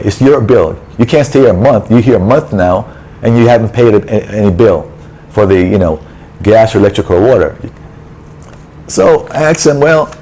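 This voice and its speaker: A low, mellow male voice tells a frustrated story in a loose, conversational way, jumping into quoted lines of dialogue as he goes. There is a faint hum of background noise around him. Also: poor audio. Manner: exasperated, animated retelling with quoted dialogue. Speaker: travel vlogger. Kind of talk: casual storytelling rant. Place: apartment building in a city.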